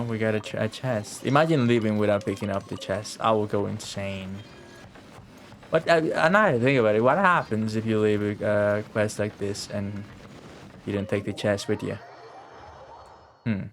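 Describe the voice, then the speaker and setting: A man's voice: lowish voice, moderate pace, long pauses, soft background music. A man live-streaming a game, chatting casually with sarcastic, profane, grumbling commentary. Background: video game audio.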